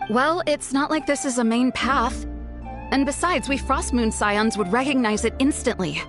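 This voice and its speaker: A woman's voice: high-pitched, normal speed, natural voice. A woman voicing a guarded, weary young woman in an anime-style video game, who speaks hesitantly. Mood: guarded, matter-of-fact. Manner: hesitant, sighing, breaking off mid-thought. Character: guarded, weary young woman trap-setter, doubting her people. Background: faint music.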